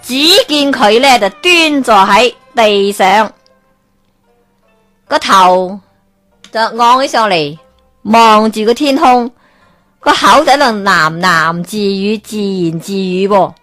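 A woman with a Hong Kong Cantonese accent narrating a folk tale as a radio storyteller, lively and expressive. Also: mid-range voice, slow pace, long pauses, soft background music. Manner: lively, expressive, chuckling asides.

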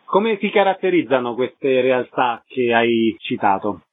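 A man's voice: medium pitch, very animated, a little slow, no room sound. A man hosting a radio interview, moving between scripted announcements and questions, over a narrow broadcast sound.

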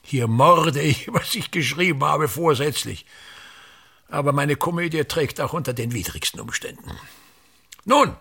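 A husky, gravelly theatre tyrant, with a highish pitch that swoops widely. He declaims pedantically and condescends with easy exasperation, in the style of a German radio play. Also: animated, measured pace, sharp tone, heavily acted. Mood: lecturing, self-important. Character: pompous, tyrannical theatre director rehearsing his own comedy. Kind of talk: German radio drama acting.

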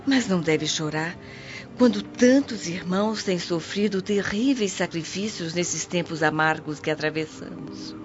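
An actress playing a kindly, pious, humble Roman washerwoman in a radio drama, gentle and motherly. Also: fairly low pitch, a little slow, natural voice. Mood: tender, consoling. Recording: dull lo-fi audio with music behind.

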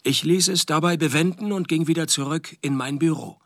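Man playing a sardonic, higher-pitched deadpan private eye. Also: brisk, dry recording, natural voice. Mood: dry, deadpan. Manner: dry, deadpan, wisecracking. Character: sardonic, world-weary male private detective narrating his case. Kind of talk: deadpan radio play narration and dialogue.

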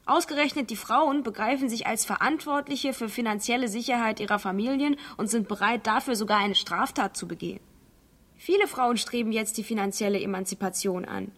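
A woman's voice: fairly high pitch, a little fast, fairly bright tone. A woman reading an interviewee's words as a documentary voice-over. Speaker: voice-over translator.

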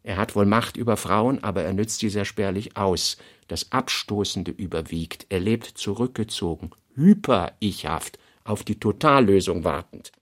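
A man reading scripted literary notes for a radio play, in measured, fragmentary phrases. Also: on the low side. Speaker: reader of a writer's working notes.

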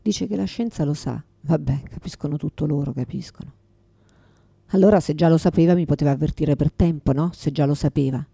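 A husky, confused, bitter mother. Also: low voice, brisk, dark tone, no echo, full character voice. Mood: bitter, rambling. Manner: fragmented, repetitive, swearing, swinging from whispers to outbursts. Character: confused, forgetful mother, exhausted, bitter, pleading, quick to lash out. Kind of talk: radio theatre dramatic monologue.

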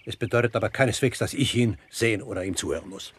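An actor playing a stern, disapproving father over a hundred years old in a radio drama, speaking formally and coldly in a husky voice. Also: on the low side. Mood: cold, dismissive. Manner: formal, cold, measured and reproachful. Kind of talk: German radio drama acting.

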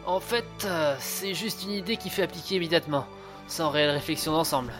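A man playing a high-voiced, eager inventor brother. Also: fairly quick, over music, lightly acted. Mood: sheepish. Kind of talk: audio drama acting.

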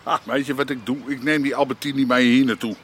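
An actor playing a brash, coarse, jovial crime boss in a naturalistic radio drama. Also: male voice, medium pitch, steady tone, quick pace, background noise, lightly acted. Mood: expansive, coarse. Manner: coarse, swearing, bluff, grunting talk.

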